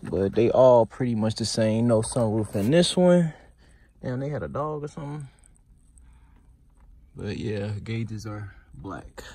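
A man's voice: fairly low pitch, lively intonation, a little slow, pauses often, mellow, husky. A man giving a casual, teasing walkaround of wrecked cars at an outdoor auction lot, filmed on a handheld phone, talking to viewers as y'all. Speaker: car flipping vlogger. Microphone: handheld phone camera. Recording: phone video with outdoor noise.

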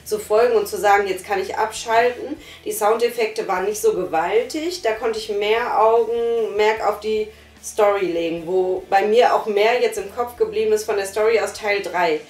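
A woman's voice: medium pitch, normal speed, a little mellow. Tired young woman, echoey living room. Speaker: podcast reviewer. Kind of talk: casual review chat. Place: living room, sitting on a sofa. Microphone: far camera. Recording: roomy, far from the mic, faint music.

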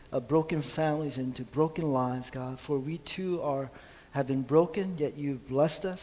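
A middle-aged male pastor leading prayer and announcements from a church pulpit, earnest and halting, with frequent fillers. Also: fairly high pitch, darkish tone. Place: church sanctuary stage at a pulpit. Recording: long church hall reverb.

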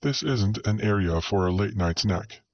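A man voicing a gruff, blunt and bossy male alien pilot in a sci-fi story, in a curt, grumbling way. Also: on the low side, animated, moderate pace, no echo, muffled recording, voice pushed down. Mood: curt warning. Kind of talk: sci-fi audiobook character.

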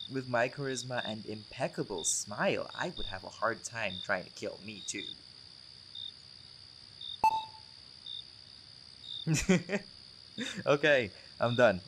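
A man acts out a centuries-old vampire with an easygoing, teasing charm. His pitch moves in lively swings as he chats casually, as if to a friend in an audio roleplay. Clear night noise of crickets and insects sits behind him. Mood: teasing, cocky. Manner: joking, teasing, often chuckling.

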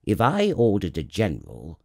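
A man's voice: deep voice, huge pitch swings, normal speed, creaky, no room sound, heavily acted. Pompous old-world monarch, played by a male narrator: imperious, emphatic, hugely varied intonation, dry close recording. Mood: majestic, assured. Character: pompous, kindly absolute king, vain but reasonable.

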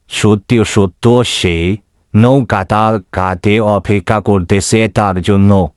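A man narrates a scripted folk story at a very slow pace. His voice is on the low side and recorded dry, with light music behind it. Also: dry recording.

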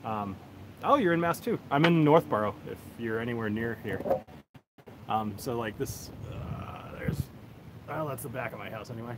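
A man's voice: mid-range voice, lively intonation, normal speed. A man in his thirties from the United States, rambling casually as he hosts a livestream on his phone outdoors. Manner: laid-back, rambling, chuckles often. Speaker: whiskey reviewer, livestream host. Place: outdoors on a backyard deck. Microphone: cell phone on a tripod. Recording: noisy outdoor phone recording.

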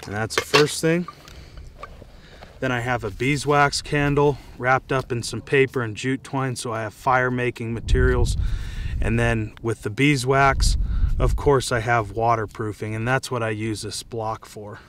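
A man's voice: mid-range voice, steady tone, a little slow, a bit bright, slight background noise. An American man casually demonstrating and reviewing gear to camera, speaking outdoors. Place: outdoors in dry scrubland.

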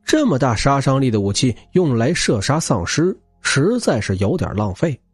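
A man's voice: medium pitch, big pitch swings, moderate pace, breathy edge, dry recording, faint music behind. A man reading a novel aloud as a dramatic scripted narration. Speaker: audiobook narrator.

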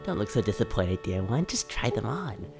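A man voicing a gentle, doting old grandmother in a video game, soft and reassuring, with game music behind. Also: fairly low pitch, sing-song, normal speed, creaky. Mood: tender.

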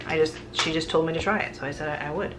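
A woman's voice: low voice, steady tone, racing, background noise. A woman in her thirties, a food vlogger, talking casually and candidly about her groceries in her home kitchen to a camera close in front of her. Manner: candid, chatty, frequent "like" fillers. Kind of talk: casual grocery haul chat. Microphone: camera on a counter, close framing.

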